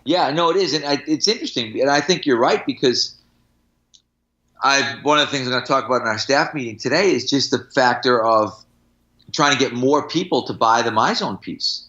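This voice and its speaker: A man's voice: mid-range voice, a little fast, bright, forceful tone, dull audio. A middle-aged man, a strength coach, talking casually on a podcast in anecdotes and examples, with firm opinions.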